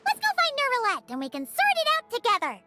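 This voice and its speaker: A woman voicing a small, chirpy, childlike fairy companion in a video game, with a squeaky voice, earnest and curious. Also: huge pitch swings, fast, over loud music, full character voice. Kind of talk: animated video game voice acting.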